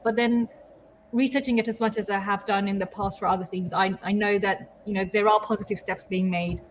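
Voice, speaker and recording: A woman's voice: medium pitch, steady tone, brisk, slight background noise, poor audio. A young woman from Kenya, a marine biologist, talking thoughtfully and hesitantly in a casual livestream. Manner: thoughtful, hesitant, laughs often.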